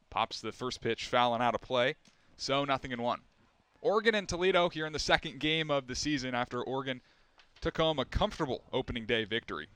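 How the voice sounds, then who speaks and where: A young man calling a baseball game play-by-play, measured and steady, lifting his voice for the big plays. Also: mid-range voice, smooth, dry recording. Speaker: play-by-play announcer.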